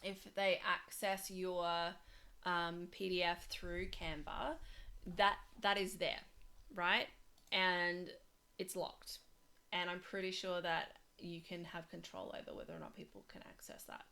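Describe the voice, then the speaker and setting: A woman teaching design software in a casual, rambling way, full of fillers and restarts, her voice going hoarse. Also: lowish voice, little pitch movement, measured pace. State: losing her voice. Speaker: design tutor. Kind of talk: casual screen-share tutorial.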